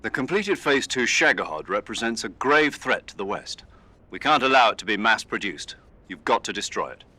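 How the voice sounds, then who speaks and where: A man playing an authoritative military commander in a video game, giving grave, measured mission briefings. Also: lowish voice, expressive, sharp tone, no room sound. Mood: grave, urgent.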